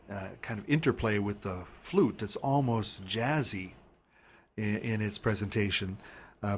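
A man's voice: fairly low pitch, slight background noise. A man narrating an online music lecture, explaining loosely with many fillers and restarts.

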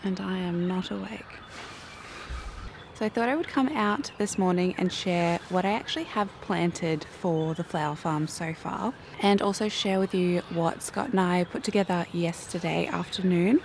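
Young Australian woman, croaky low voice, chatty vlogger, outdoors with faint noise. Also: normal speed. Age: young adult. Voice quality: croaky morning voice. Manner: chatty, self-mocking, giggly. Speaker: flower farming vlogger. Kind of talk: casual vlog talk to camera. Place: backyard garden outdoors, cold morning.

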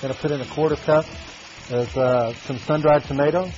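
A man's voice: fairly high pitch, steady tone, brisk, darkish tone, dull audio. A middle-aged man chef giving a live cooking demo in a television studio kitchen, talking casually with lots of fillers while he works, on a lapel mic. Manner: folksy, talks while cooking with frequent fillers. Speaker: restaurant chef.

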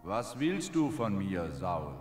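A man's voice: on the low side, very animated, slow pace. A man playing a stern old prophet's ghost in a radio play, solemn and reproachful. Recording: echoing long reverb over loud music.

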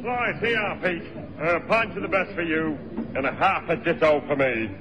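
Breezy comic pub regular played by a man: male, high voice, pompous word-mangler, very noisy old radio sound. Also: normal speed, big-room sound, close to an everyday voice. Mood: jovial, bantering. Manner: breezy, bantering, pompous malapropisms. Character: jovial, breezy pub-going man who mangles big words. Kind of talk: 1960s British radio comedy acting. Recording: noisy, dull old radio recording, loud audience.

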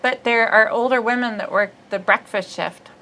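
A woman's voice: fairly high pitch, moderate pace, sharp tone. A woman in her forties from Ohio with a Midwestern accent, hosting a casual comedic chat, telling bawdy stories with lots of laughter. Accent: Midwestern American. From Ohio, USA. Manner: chatty, laughing, bawdy storyteller. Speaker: comedian host. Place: small studio set, seated indoors.

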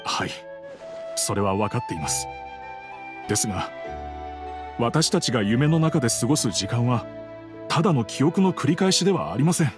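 A man's voice: mid-range voice, very animated, normal speed, pauses often, over music, lightly acted. A man playing a grieving widower in an anime game, speaking politely, earnestly and with hesitation. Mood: wistful. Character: grieving adult widower, polite, troubled villager. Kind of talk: Japanese anime game voice acting.

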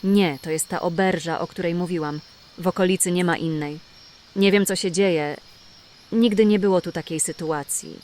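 A woman's voice: fairly low pitch, fairly even intonation, moderate pace, darkish tone, close to an everyday voice. A woman playing a confident, practical, tough-talking outlaw in a fantasy audio drama. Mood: level-headed. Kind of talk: fantasy radio drama acting.

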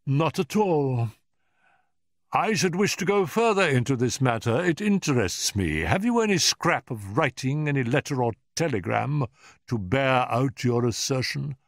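A man's voice: mid-range voice, lively intonation, unhurried, dry recording, studio quality, voice pushed up. A man voicing a malicious, irascible elderly professor in an audiobook story, with a pompous, cutting manner. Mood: sharp, suspicious. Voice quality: screaming at peaks.

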